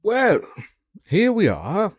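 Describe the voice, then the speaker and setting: A man's voice: sits high, huge pitch swings, slow, slightly rough, voice pushed up, exaggerated voice. A man voicing a flamboyant, smug villain with a theatrical, drawling, mock-aggrieved delivery for a hobby audio drama. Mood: mock indignation. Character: flamboyant, smug, theatrical male villain scheming world destruction. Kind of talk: hobby audio drama acting with in-character outtake banter.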